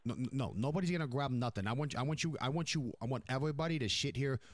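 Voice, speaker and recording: A man plays a domineering New York crime boss in a game roleplay. He browbeats and swears, hammering his demands over and over, in a mid-pitched voice recorded close and dry. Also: quick pace, no room sound, natural voice. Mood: lecturing, irate. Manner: browbeating, repetitive, profane. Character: furious, domineering New York mob boss, foul-mouthed.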